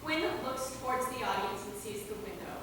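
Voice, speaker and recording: A woman's voice: a little high, animated, fairly quick, fairly bright tone. A woman narrator reading scripted stage directions at a staged reading. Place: theater stage, recorded from a distance. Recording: very echoey hall, far mic, noisy.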